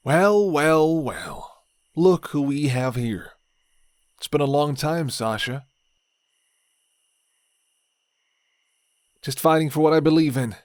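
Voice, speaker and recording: A man's voice: fairly high pitch, lively intonation, unhurried, no echo, studio quality, voice pushed up. A man voicing a sardonic rebel leader who mocks an old friend and then pleads earnestly. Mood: mocking.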